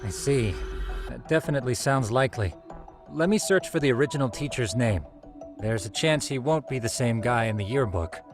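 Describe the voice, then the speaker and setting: A man's voice: medium pitch, expressive, normal speed, over music, natural voice. An actor playing a polite, knowledgeable male investigator in a video game, talking formally and explaining details.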